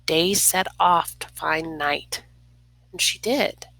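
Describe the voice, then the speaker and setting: A woman reads a picture book aloud at a very slow, expressive pace. Her voice is bright yet creaky, with dramatic pauses that can fall toward a whisper and a few casual asides. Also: deep voice, no echo, rough recording. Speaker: storybook reader.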